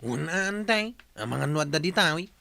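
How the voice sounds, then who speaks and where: Audio drama part: actress as casual, forgetful young relative, Ilocano accent, low pitch. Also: female voice, lively intonation, moderate pace, creaky, pushed and bright, full character voice. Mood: searching.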